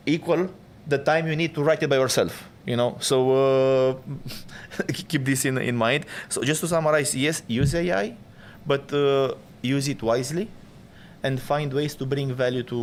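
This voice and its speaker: A man on a panel explains his points steadily, using plain analogies, in a mid-pitched voice. Faint background noise sits under him. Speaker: panel speaker. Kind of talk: casual panel discussion.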